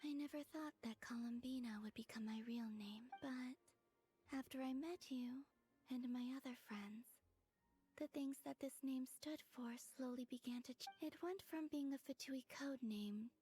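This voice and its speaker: A woman voicing a gentle, ethereal young moon maiden in a video game, softly and breathily, with tender, measured delivery. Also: sits high, faint music behind, lightly acted. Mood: wistful. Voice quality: soft, whispery, breathy.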